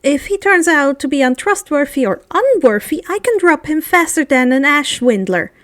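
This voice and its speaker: Woman playing blunt teenage warrior girl, high-pitched. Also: lively intonation, moderate pace, a bit bright, dry recording, studio quality. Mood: matter-of-fact. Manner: blunt, unbothered. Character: dramatic, fierce, nonchalant thirteen-year-old warrior-folk girl.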